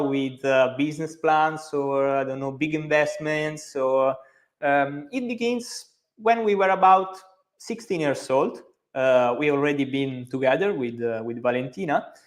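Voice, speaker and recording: Hesitant man with a strong Italian accent. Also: on the high side. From Italy. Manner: hesitant, many fillers and restarts. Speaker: company co-founder hosting a live stream. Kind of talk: live stream presentation.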